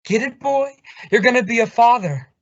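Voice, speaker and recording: A man's voice: very high voice, normal speed. An actor playing a blustery, sardonic older male boss in an old radio comedy. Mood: knowing, amused. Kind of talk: 1950s radio sitcom acting. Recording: dull vintage radio recording.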